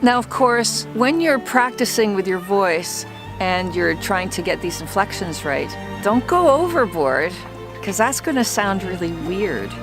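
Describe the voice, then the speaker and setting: A woman who once worked as a trial lawyer, coaching viewers on public speaking in a calm, deliberate and well-projected voice. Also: medium pitch, music in the background. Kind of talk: instructional talk to camera.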